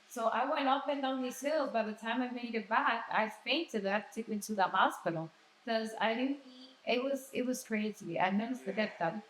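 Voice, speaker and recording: A woman hosting a podcast, asking questions in a chatty, encouraging way and telling quick stories of her own. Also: medium pitch. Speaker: podcast host. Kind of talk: casual podcast interview. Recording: echoey room.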